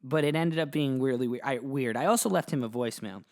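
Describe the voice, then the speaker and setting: A podcast host telling a story casually, rambling and amused at himself. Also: male voice, mid-range voice, fast talker, darkish tone.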